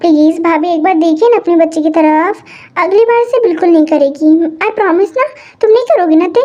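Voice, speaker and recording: Woman voicing an indulgent aunt, coaxing and pleading, very high voice. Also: moderate pace, darkish tone, highs cut off.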